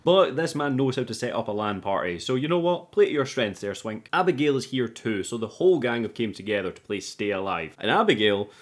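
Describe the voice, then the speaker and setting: A man hosts a casual film review with a very animated, swinging delivery. His pitch sits in the middle, and his phrasing is informal, jokey and sprinkled with swearing. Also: a little fast, few pauses. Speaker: host of a movie review show. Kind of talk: casual scripted film recap commentary.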